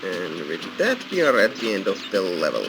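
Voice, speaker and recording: Low-voiced, animated gamer commentating. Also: male voice, moderate pace, very noisy. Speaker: gaming video host. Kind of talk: casual game walkthrough commentary. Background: loud game music.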